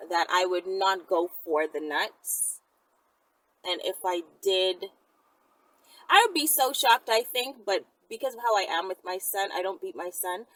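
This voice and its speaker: A woman hosting a casual question-and-answer video, speaking in a frank, conversational and emphatic way. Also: on the low side. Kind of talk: casual chat. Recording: distant mic with clear background noise.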